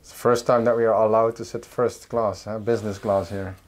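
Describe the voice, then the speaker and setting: A man's voice: on the low side, a little fast. A man giving casual, curious commentary while he walks through indoor simulators, filmed on a handheld camera. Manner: relaxed, curious, talking while walking. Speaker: urban explorer host. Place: inside a large indoor training hall and plane simulator.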